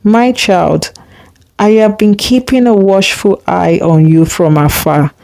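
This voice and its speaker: A woman voicing a gentle but commanding river spirit mother in a storybook tale, solemn and measured. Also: medium pitch, creaky, dry recording. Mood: tender, solemn. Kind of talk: storybook character reading.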